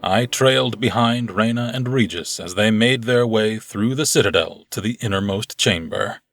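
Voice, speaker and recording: A man playing a grave, loyal royal guard officer in an audio drama, with a gravelly, husky voice. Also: on the low side, moderate pace, fairly bright tone, no echo. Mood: somber narrating. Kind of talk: audio drama acting and first-person narration. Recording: dry close recording under music.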